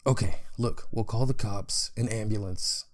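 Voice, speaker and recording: An actor playing a pragmatic brother trying to take charge in a crisis, urgent and reasoning, in a narrated story. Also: male voice, lowish voice, measured pace, heavy background noise, close to an everyday voice. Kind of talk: acted lines in a narrated horror story.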